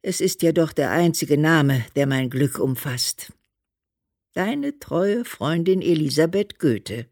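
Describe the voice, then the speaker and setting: A woman's voice: low-pitched, lively intonation, slow pace, a little mellow, no room sound, studio quality, full character voice. An actress playing an affectionate, demanding elderly mother in a German radio play, emphatic and coaxing. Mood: tender. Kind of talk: German radio play, letter read as speech.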